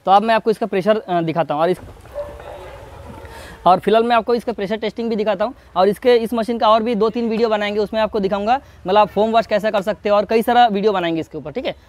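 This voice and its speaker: A young man chats through an unboxing at a quick clip, his voice sitting high for a man. He keeps checking in with his viewers as he goes. He was recorded outdoors in a garden courtyard. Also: a little mellow. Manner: chatty, talks straight to viewers, keeps checking in with "hai na". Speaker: product reviewer. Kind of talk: casual unboxing demo.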